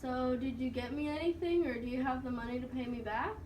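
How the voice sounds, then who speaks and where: Generous, penniless puppet friend, played by a woman; high register, echoey amateur recording with noise. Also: steady tone, brisk, a little mellow, lightly acted. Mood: let down. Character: broke, generous puppet friend living in a box. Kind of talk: amateur school puppet show. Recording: echoey room, distant mic, background noise.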